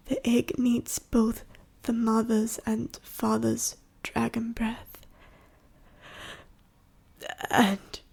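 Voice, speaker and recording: A woman playing an ancient, dying female dragon in an ASMR roleplay, telling a sorrowful life story slowly and haltingly in a breathy, raspy whisper. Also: on the high side, a little mellow, full character voice. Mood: grieving, weary. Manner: halting, slow, solemn storytelling. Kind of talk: ASMR audio roleplay monologue to the listener.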